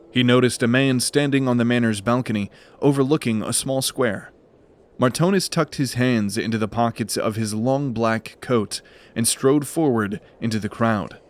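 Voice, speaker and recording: A man narrating a scripted fantasy audio drama in a measured, dramatic storytelling manner. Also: medium pitch, a little hiss or hum.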